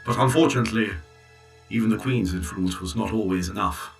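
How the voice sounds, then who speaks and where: A man playing a loyal clockwork servant who tells a story in a formal, measured, precise way in an audio drama. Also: fairly low pitch, distant mic, faint music behind, natural voice. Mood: solemn. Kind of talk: full-cast audio drama narration.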